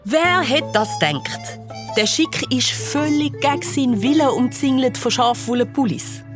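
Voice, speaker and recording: A woman's voice: sits high, expressive, unhurried, music in the background. A woman playing a wry, playful narrator, telling a scripted children's detective story in German. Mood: wry, dramatic.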